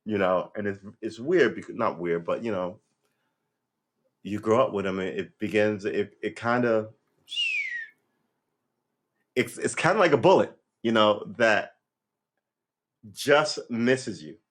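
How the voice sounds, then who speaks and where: A man in his fifties in a rambling, reflective monologue, full of restarts and "you know", and his pitch jumps when he gets worked up. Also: measured pace, long pauses. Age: 50s. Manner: lively pitch swings when animated. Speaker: vlogger. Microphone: livestream setup.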